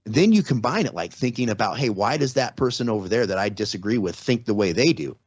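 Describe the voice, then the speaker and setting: A man's voice: fairly low pitch, expressive, fast, husky, dry recording. A man in his forties or fifties, a podcast guest, explaining ideas earnestly in casual talk with many restarts. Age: 40s or 50s. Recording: slightly dull lo-fi audio.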